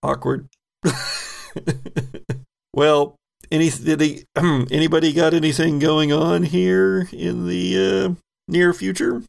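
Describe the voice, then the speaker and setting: A man's voice: a little high, little pitch movement, slow pace, gravelly. A man hosting an online tabletop game podcast, easygoing as he steers the crew's plugs before play. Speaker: game master and host.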